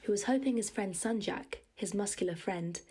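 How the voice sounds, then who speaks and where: A woman's voice: mid-range voice, normal speed, warm tone, a little hiss or hum. A woman reading scripted narration for a story, as its narrator. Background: music.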